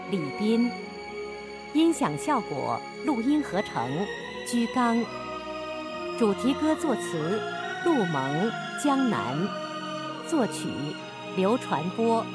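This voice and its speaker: A woman reading scripted narration and credits for a radio drama in a formal, expressive way. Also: mid-range voice. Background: music.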